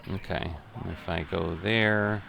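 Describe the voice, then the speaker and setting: A middle-aged man giving unscripted simulation game commentary, thinking aloud in a methodical, halting way. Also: low voice, fairly even intonation, vocal fry, crowd noise. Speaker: gaming video host.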